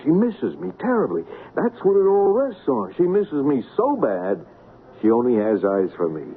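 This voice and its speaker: An actor playing a cocky, persuasive swindler who coaxes and builds up to reveals in an old-time radio drama. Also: male voice, fairly high pitch, huge pitch swings, normal speed, phone-quality sound. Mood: confident, explaining. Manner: theatrical, coaxing, builds up to reveals. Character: cocky, persuasive male swindler masterminding a plot. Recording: band-limited, noisy radio drama recording.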